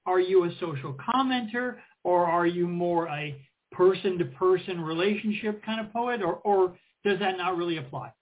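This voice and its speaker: A man's voice: sits high, moderate pace, hardly any pauses. A middle-aged man co-hosting an interview, asking friendly questions with frequent ums and restarts. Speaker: co-host. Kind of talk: casual interview conversation. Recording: tinny phone-line audio.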